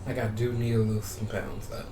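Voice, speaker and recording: A man's voice: fairly low pitch, a little fast. A man chatting casually as a gaming YouTuber, rambling and repeating his phrases, sometimes reading game text aloud. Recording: roomy, distant mic, steady background noise.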